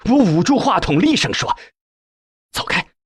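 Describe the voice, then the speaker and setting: A man's voice: sits high, sing-song, fairly quick, slightly rough, no echo. A man narrating a novel as a scripted, dramatic audiobook reading.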